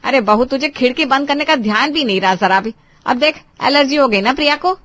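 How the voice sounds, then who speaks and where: A woman's voice: mid-range voice, expressive, a little fast, a bit bright, no room sound, close to an everyday voice. A woman playing a bossy, scolding middle-aged mother-in-law in a dramatized family story. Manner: scolding, overbearing, theatrical.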